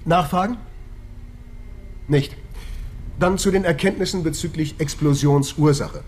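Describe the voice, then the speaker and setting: An actor playing a formal senior police detective, brisk and officious, in a naturalistic radio crime drama. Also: male voice, a little high, darkish tone, slight background noise, close to an everyday voice. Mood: formal, businesslike.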